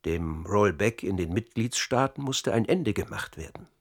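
An actor playing a grave insider in a radio drama, explaining in a measured way. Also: male voice, on the low side, animated. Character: grave male insider recounting a secret European conspiracy.

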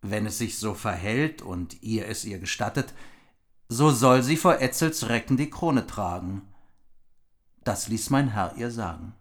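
Casting: a loyal royal envoy, grave courtly delivery. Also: male voice, lowish voice, expressive, measured pace. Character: loyal noble envoy of a king, dignified.

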